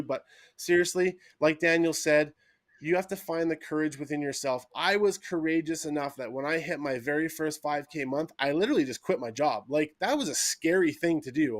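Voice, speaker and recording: A man's voice: fairly high pitch, dry recording, clean studio sound. A man giving a casual, filler-heavy motivational testimony on a live stream, often saying "like". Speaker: team member sharing his story.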